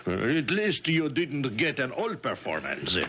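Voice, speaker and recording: An actor playing a courtly, genial police chief in a 1970s radio drama, with a hesitant, explanatory manner. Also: male voice, medium pitch, huge pitch swings, measured pace, a bit bright, slight background noise, full character voice. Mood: knowing, courteous. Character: genial, theatrical French police chief in Monte Carlo. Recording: vintage 1970s radio broadcast.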